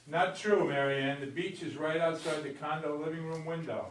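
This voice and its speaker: A man's voice: fairly high pitch, moderate pace, lightly acted. A man reading the part of a brash, world-weary gambler husband in an amateur stage play. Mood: exasperated, defensive. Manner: blunt, colloquial, grumbling. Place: indoor room at a live reading. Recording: echoey room, distant mic, noisy.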